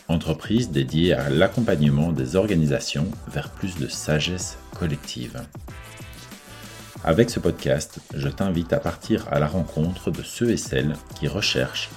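Low-voiced French podcast host, man. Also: lively intonation, measured pace, a little mellow, soft background music. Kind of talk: podcast host, intro and interview.

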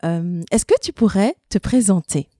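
A woman hosts a podcast interview, leading in with an introduction and then asking her guest warm, eager questions. Her tone is mellow and relaxed, and the recording is close and dry with no room sound. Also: mid-range voice, moderate pace, no echo. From Belgium. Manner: warm, enthusiastic, encouraging. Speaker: podcast host.

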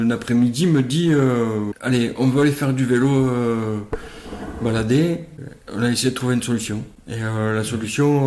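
A man's voice: mid-range voice, darkish tone. Southern French man, echoey room. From southern France. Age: 40s. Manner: hesitant, many fillers and restarts. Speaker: interview guest, cyclist. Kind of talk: conversational interview.

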